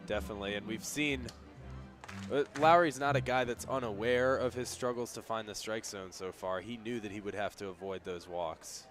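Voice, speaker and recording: A man providing conversational color commentary on a radio baseball broadcast, explaining things in a measured way. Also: on the low side, fairly bright tone, faint music behind. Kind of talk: conversational sports analysis on radio. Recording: faint outdoor ambience and traffic-like noise.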